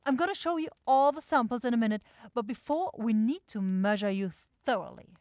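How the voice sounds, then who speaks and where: A woman's voice: a little high, lively intonation, a little fast, darkish tone, no echo, clean studio sound, natural voice. A woman playing a self-assured, impatient demon shopkeeper in a fantasy ASMR audio roleplay, with a theatrical, coaxing salesy manner. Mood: fussy, professional. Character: bossy, salesy demon-like soul shop keeper sister, impatient.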